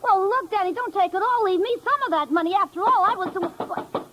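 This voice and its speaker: High-voiced brassy cornered dame. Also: female voice, a little fast, a bit bright. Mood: anxious, pleading. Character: evasive, brassy female bar entertainer, grasping. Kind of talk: 1950s radio drama acting. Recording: faintly noisy old radio recording.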